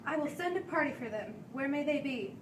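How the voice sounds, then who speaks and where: A woman's voice: high voice, lively intonation, a little fast. Woman as a stern colonial judge, distant and echoey. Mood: impatient. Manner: curt, questioning, authoritative. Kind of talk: amateur stage-play acting. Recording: echoey room, distant mic, faint noise.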